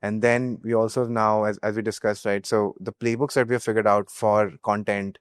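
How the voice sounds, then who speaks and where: A man's voice: on the low side, flat delivery, fairly quick, clean tone, dry recording, studio quality. A young man with an Indian accent speaking earnestly as a startup co-founder in a business interview, often checking with a tag question. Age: young adult.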